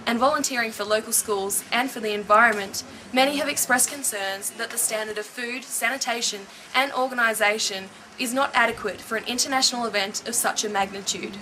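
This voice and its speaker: A woman's voice: fairly high pitch, sharp tone, slight background noise, rough recording. A woman reporter reading an upbeat, scripted voice-over for a news piece.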